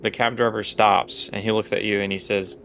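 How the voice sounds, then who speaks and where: A man narrating a tabletop game as game master, halting as he thinks aloud. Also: fairly low pitch, little pitch movement, vocal fry, fairly bright tone, faint music behind.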